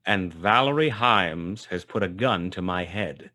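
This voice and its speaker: Low, forceful hard-boiled detective. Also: male voice, expressive, measured pace, exaggerated voice. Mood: tense, gritty. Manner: clipped, wry, dramatic. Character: world-weary, wry, tough male hard-boiled private detective. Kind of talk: 1920s hard-boiled radio play narration.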